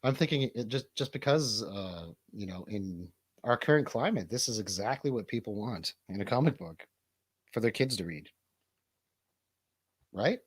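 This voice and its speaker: A man's voice: on the low side, lively intonation, normal speed, breathy edge, dry recording. A man hosting a livestream radio show with casual, comedic chat, rambling and swearing irreverently.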